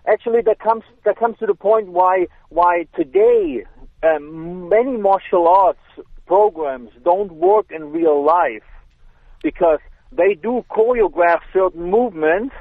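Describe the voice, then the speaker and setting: A man's voice: high-pitched, big pitch swings, unhurried, creaky, no echo. A man with a German accent, a self-defense instructor, explaining in casual chat with hesitant restarts. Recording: tinny phone line.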